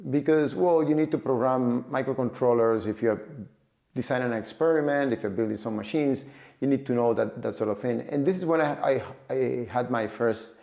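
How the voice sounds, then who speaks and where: Cuban-accented man, gravelly and halting. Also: medium pitch, a little mellow, lo-fi sound. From Cuba. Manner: halting, many fillers and repeated words. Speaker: data and AI tech professional. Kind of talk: casual personal talk to camera.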